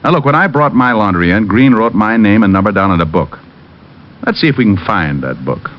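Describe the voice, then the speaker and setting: Guy doing an old radio private detective, american, wry and wisecracking, swingy low voice, muffled old broadcast sound. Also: animated, fairly quick, slight background noise, natural voice. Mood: wry narration. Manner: wry, sardonic. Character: witty wisecracking male private detective, narrating his case. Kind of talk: 1940s-50s radio detective acting. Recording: dull old radio recording, background music.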